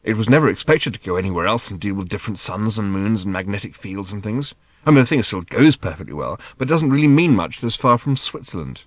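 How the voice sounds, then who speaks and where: A man's voice: lowish voice, expressive, fast talker, no room sound. A man reading an audiobook voices a bewildered, mild-mannered father who explains things hesitantly. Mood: explaining. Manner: hesitant, apologetic.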